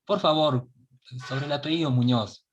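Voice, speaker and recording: A man's voice: a little high, moderate pace, highs cut off, crowd noise. A man from the audience asking a question politely and hesitantly.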